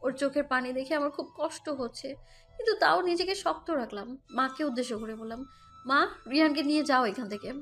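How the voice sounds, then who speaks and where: A woman acts out a hurt young mother narrating a romantic audio drama in the first person. Her voice is very high, with a dramatic, scolding edge and a defiant temper. Music runs underneath. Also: a little fast, fairly bright tone. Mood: stern, pained. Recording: music bed behind the voice.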